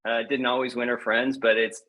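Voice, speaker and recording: Measured, reflective man, medium-pitched voice. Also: level tone, sharp tone. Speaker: guest poet. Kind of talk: poetry reading and conversational interview.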